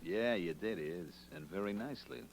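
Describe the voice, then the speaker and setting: Casting: menacing American racketeer, very low voice, tough and slangy, humming old radio recording. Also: male voice, animated, moderate pace, slight background noise. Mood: cold menace. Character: cold, menacing American gangster, boss of a counterfeiting racket. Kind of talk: old-time radio crime drama acting.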